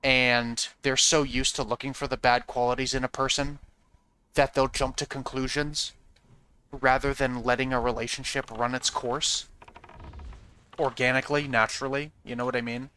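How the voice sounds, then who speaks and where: Rambling, crisp-voiced male streamer. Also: mid-range voice, little pitch movement, moderate pace, pushed and bright. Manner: rambling, repeats "you know what I mean"; frank and profane. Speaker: streamer talking to chat. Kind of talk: casual chat with viewers while playing.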